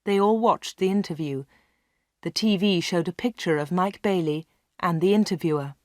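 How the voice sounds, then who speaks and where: Woman reading a learners' story, clear. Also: on the low side, a little mellow, dry recording, studio quality. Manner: clear and measured. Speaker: audiobook narrator. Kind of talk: slow read-along storytelling for learners.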